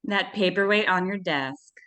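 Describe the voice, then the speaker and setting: A woman playing a busy, matter-of-fact mother in old-time radio drama, brisk and dismissive. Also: on the low side, crowd noise, natural voice. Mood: relaxed, teasing. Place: live stage radio-play performance.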